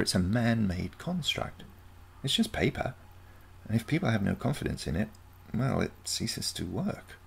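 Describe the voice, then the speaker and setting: A man voicing a calm, confident teenage granddaughter from the future, matter-of-fact and explanatory, in a storybook. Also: on the low side, creaky, breathy edge, pitched above the performer's own voice, exaggerated voice. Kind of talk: storybook character dialogue.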